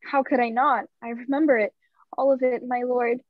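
A woman's voice: fairly high pitch, steady tone, moderate pace, dry recording, phone-quality sound, natural voice. A woman playing a haunted, fearful young man in a dramatic radio play. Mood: subdued, troubled. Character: haunted, terrified young man, Jonathan Harker. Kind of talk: stage-style radio play acting.

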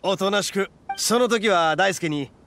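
A man voicing a brash, boastful young oni gang boss in an anime game, with cocky, blustering, rough street talk. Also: high-pitched, measured pace, fairly bright tone. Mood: earnest.

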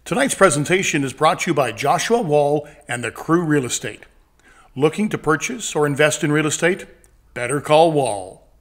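A man's voice: fairly high pitch, expressive, moderate pace. A man announcer reading a scripted sponsor message.

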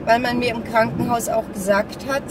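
A middle-aged woman chats casually to camera while driving, halting and distracted, with grumbling asides. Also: fairly high pitch, little pitch movement. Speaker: vlogger. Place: inside a small car while driving. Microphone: phone or camera mounted on dashboard. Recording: distant mic, loud car and road noise. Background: car and traffic noise, navigation voice.

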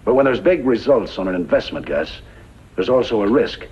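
An actor playing a greedy, cocky gunrunning boss in a 1950s radio western, with a folksy, self-assured delivery. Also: male voice, on the low side, very animated, moderate pace, darkish tone, breathy edge, exaggerated voice. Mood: cool, scornful. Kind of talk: 1950s radio drama acting. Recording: muffled, hissy old radio recording.

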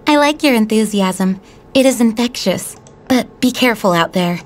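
A woman playing a composed, kindly young knight commander, speaking formally and gently in an anime video game. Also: on the high side, expressive, moderate pace, slight background noise, natural voice. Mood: gently amused.

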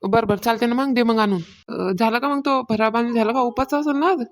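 A woman's voice: fairly high pitch, a little fast, lightly acted. A woman playing an aggrieved village housewife in a cartoon comedy, complaining colloquially with a rural Maharashtrian accent. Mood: chatty, complaining. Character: aggrieved village housewife fretting over her worship. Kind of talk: amateur cartoon comedy dialogue.

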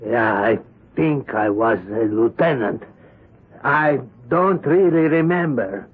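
An actor playing a nervous, defensive Polish immigrant bodyguard on trial, halting and stammering in 1970s radio drama style. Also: male voice, mid-range voice, lively intonation, husky. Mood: troubled, confused. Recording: muffled lo-fi 1970s radio recording.

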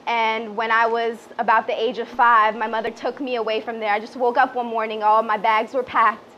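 A woman's voice: on the high side, flat delivery, normal speed. A woman in her twenties from the Washington DC area, born in Peru, giving an earnest, slightly hesitant personal speech into a handheld microphone at a small event. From born in Peru, grew up in the Washington DC area. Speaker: public relations director giving a speech. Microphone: handheld microphone, lapel mic also worn. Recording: muffled, noisy live PA recording.

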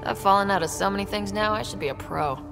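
A woman's voice: medium pitch, quick pace, creaky, over music, lightly acted. A woman playing a young, determined space explorer and team leader in a sci-fi game, plain-spoken and wry. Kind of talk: scripted game dialogue.

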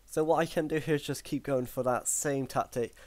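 A man with a croaky voice commentating his own online battle, thinking aloud with frequent ums and self-corrections. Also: medium pitch, steady tone, a little fast, a little mellow, no echo. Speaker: gaming commentator. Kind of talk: live battle commentary over gameplay.

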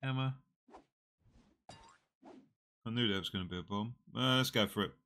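A man in his forties with a slightly nasal voice from a cold, quietly talking through a mobile puzzle game on a headset. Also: lowish voice. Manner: slow, muttering play-by-play, often trailing off. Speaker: gaming channel host. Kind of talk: casual mobile game commentary. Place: small room indoors.

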